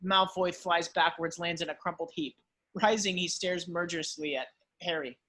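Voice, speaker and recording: A man's voice: a little high, steady tone, moderate pace, pushed and bright. A man reading screenplay stage directions as dramatic scripted narration.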